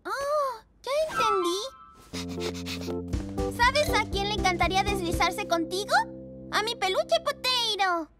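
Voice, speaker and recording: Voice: very high voice, slow, long pauses, exaggerated voice. An actor voicing a cheerful, earnest little girl pug puppy in a children's cartoon. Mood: encouraging. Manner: earnest, expressive. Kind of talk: children's cartoon voice acting, Spanish dub. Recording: music behind the voice.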